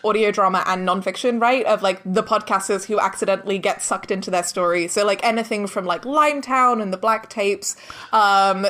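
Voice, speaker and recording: A woman's voice: mid-range voice, a bit bright. Chatty woman in a casual interview. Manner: chatty, informal, frequent "like" and "kind of". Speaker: interview guest, audio drama director and producer.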